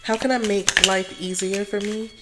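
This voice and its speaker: A hoarse woman speaking calmly and softly as she gives a casual tarot reading. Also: medium pitch, normal speed, loud music behind the voice. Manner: calm, soft-spoken, chatty. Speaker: tarot reader.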